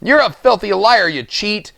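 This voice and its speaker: A man's voice: sits high, animated, unhurried, creaky, a bit bright, voice pushed up, exaggerated voice. A man voicing a rickety, angry old miner in a children's storybook, hollering with indignation. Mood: furious.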